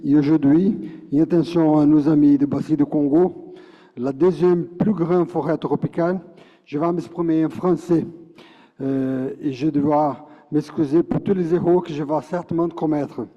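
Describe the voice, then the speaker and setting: A man's voice: on the high side, steady tone, moderate pace. A man from Brazil, speaking with a Brazilian Portuguese accent, gives a formal prepared speech at a conference, with a declamatory delivery and frequent self-corrections. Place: large conference hall. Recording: muffled conference hall reverb.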